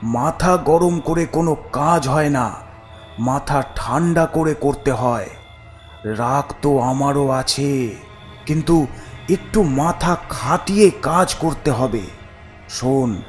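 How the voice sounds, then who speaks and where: A man voicing a menacing, scheming village father in a horror cartoon, threatening and calculating in a deliberate way. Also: mid-range voice, voice pushed down, close to an everyday voice. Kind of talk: animated horror cartoon acting.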